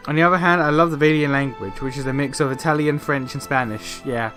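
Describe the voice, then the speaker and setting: A man's voice: mid-range voice, steady tone, fast talker, fairly bright tone. Man streamer; chatty, rambling let's-play commentary over faint game music. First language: Portuguese. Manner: chatty, rambling, jokey, many fillers.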